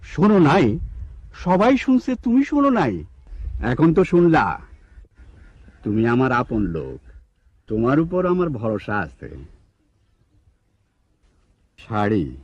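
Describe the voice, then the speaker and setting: An actor playing a self-important village election candidate in a comic drama, bossy and wheedling, with a Bangladeshi accent. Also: male voice, medium pitch, wildly swooping pitch, slow, long pauses, darkish tone, breathy edge, no room sound, exaggerated voice. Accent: Bangladeshi Bengali. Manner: pompous, insistent. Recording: dull lo-fi soundtrack.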